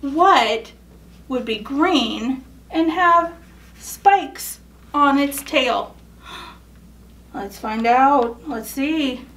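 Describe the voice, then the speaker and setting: A woman's voice: high-pitched, extremely slow, long pauses, a bit bright, far from the mic. A young woman reading a children's picture book aloud, explaining as she goes and asking questions she then answers. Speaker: storytime reader. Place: indoors in front of a photo backdrop.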